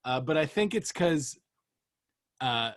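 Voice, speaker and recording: American guy hosting a comedy podcast, voice on the higher side, goofy casual chat. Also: lively intonation, slow. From Florida, United States. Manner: joking, playful. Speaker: podcast host.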